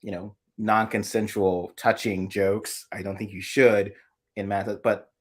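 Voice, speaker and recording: A man from the American South co-hosting a casual chat, thoughtful and halting, often restarting his sentences. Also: lowish voice, flat delivery. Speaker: co-host.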